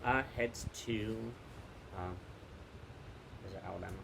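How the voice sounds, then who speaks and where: A man casually recommending books outdoors, halting and hesitant as he pauses to think. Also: fairly low pitch, creaky. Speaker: book recommender, teacher. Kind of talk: casual book recommendation chat. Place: outdoors in the wind. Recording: very loud wind noise, clicks, outdoor video.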